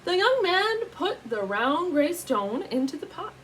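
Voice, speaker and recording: A woman reading a picture book aloud in an animated, teacherly way, pausing to put questions to young listeners. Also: very high-pitched, a little hiss or hum.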